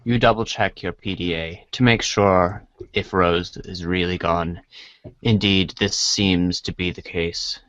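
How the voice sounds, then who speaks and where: A man's voice: sits low, steady tone, a little slow, a bit bright, no room sound, muffled recording. A man reading scripted second-person narration as a storybook narrator.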